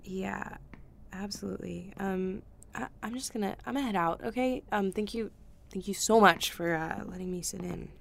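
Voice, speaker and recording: An actress playing an uneasy, defensive young character in an audio drama, hesitant and trailing off politely. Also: female voice, medium pitch, moderate pace, creaky, slight background noise, lightly acted. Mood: awkward, retreating.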